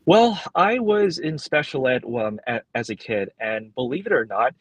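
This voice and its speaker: A man's voice: mid-range voice, expressive, normal speed, no room sound, highs cut off. A young man whose first language is Cantonese, earnestly answering interview questions with many fillers and restarts from his workplace library. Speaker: guest.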